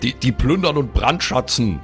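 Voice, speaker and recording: A man's voice: medium pitch, animated, normal speed, faint music behind, natural voice. A man playing a put-upon, bookish grown son who is a devout fantasy cleric, earnest, whiny and self-justifying, in an improvised audio play. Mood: earnest, plaintive.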